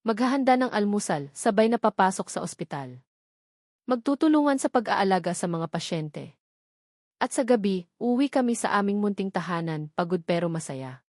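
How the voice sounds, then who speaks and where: A woman narrates a love story in the first person, softly and with feeling. Her voice sits at a middling pitch. The recording is dry and close, with no room sound at all. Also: lively intonation, moderate pace, no room sound. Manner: soft, heartfelt storytelling.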